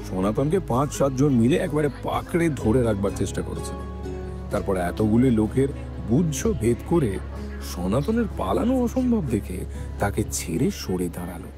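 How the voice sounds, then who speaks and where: A man narrating a scripted dramatic story as the narrator of an audio production. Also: mid-range voice.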